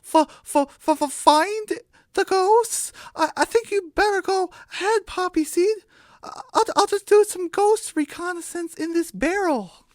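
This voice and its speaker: A woman's voice: very high-pitched, a little slow, dry recording, pitched above the performer's own voice. A woman voicing a cowardly, panicky, stuttering farm animal in a children's radio play. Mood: nervous, evasive. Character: frantic, cowardly, stammering cartoon farm animal scared of ghosts.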